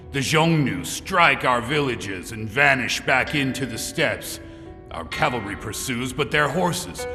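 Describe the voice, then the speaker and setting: Brooding, imperious emperor male actor for audio drama; measured delivery in a reverberant hall. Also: medium pitch. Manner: grave, imperious, deliberate. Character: proud, commanding ancient Chinese emperor, brooding and ambitious. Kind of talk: amateur audio drama acting. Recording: long hall reverb, loud music behind.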